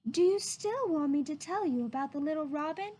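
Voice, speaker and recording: A woman voicing a gentle, patient mother raccoon telling a tale in a storybook, sweet and soothing. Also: high voice, animated, brisk, voice pushed up. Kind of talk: storybook character voice.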